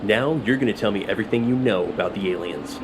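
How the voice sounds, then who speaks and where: An actor playing a stern, by-the-book military captain in an audio drama, speaking in a curt, commanding way. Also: male voice, on the low side, quick pace, natural voice. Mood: menacing. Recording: clearly noisy, vehicle ambience behind.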